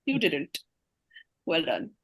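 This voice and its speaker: A woman's voice: low voice, huge pitch swings, slow, slightly rough, rough recording. A woman quizmaster reading scripted questions aloud, with casual asides to the players.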